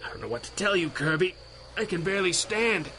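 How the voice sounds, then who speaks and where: An actor playing an exhausted, erudite masked hero who speaks drily and formally in a radio drama. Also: male voice, high-pitched, animated, a little fast, bright, forceful tone, a little hiss or hum, low-quality recording. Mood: strained, wry. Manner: dry, formal wording. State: weak, exhausted. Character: weakened, wry, erudite male masked hero, stubborn.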